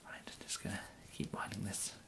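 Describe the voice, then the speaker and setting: A young man with an English accent softly whispering an ASMR roleplay as a polite, hesitant gentleman tailor. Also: moderate pace. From England. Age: young adult. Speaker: ASMR performer playing a tailor.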